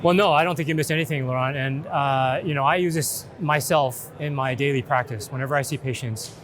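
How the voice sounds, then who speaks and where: A middle-aged man, a physician, gives a spoken testimonial in a measured, articulate way. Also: mid-range voice, fairly bright tone. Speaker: physician, chief medical officer. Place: indoor trade show booth in large exhibition hall. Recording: busy convention hall background noise. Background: exhibition hall noise.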